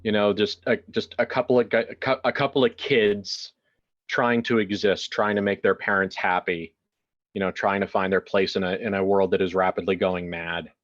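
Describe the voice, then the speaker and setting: A man improvising narration for a tabletop role-playing game in a halting way, often repeating words as he searches for the next one. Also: fairly low pitch, little pitch movement, fairly bright tone, dull audio. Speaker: tabletop role-player narrating his character. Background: background music.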